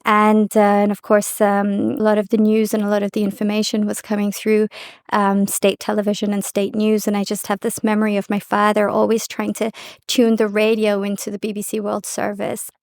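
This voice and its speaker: A woman's voice: medium pitch, completely flat delivery, normal speed, darkish tone. A woman from Thailand, deputy director of a human rights group, answering candidly and thoughtfully. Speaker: deputy executive director of an NGO. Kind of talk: interview answers.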